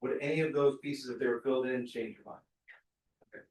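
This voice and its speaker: A man working as a prosecutor, questioning a jury panel in a quick, conversational way. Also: medium pitch, steady tone, a little mellow, slight background noise. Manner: fast, conversational, explains with examples. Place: large courtroom. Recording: echoey courtroom from far off.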